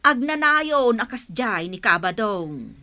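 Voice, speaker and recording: A woman's voice: a little high, big pitch swings, takes it slowly, bright, forceful tone, heavily acted. A woman with an Ilocano accent playing a gossipy village friend who gives advice in a comedy radio drama, conversational and probing. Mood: gossipy, advising. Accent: Ilocano, northern Philippines.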